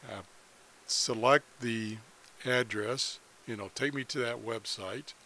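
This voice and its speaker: A man with a mid-range voice talks through an on-screen demonstration in a casual, unscripted way. He sounds like a hobbyist explaining things as he goes. His phrasing is halting, with pauses partway through sentences. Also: mid-range voice, sharp tone, slight background noise. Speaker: hobbyist presenter of a shortwave radio tutorial.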